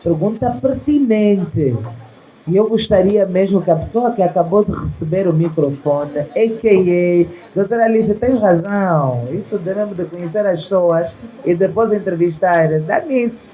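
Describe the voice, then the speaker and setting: A woman's voice: on the low side, normal speed, dark tone, poor audio. A woman hosting a live talk event, chatting casually and addressing guests affectionately. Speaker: host of a women's wellness talk event.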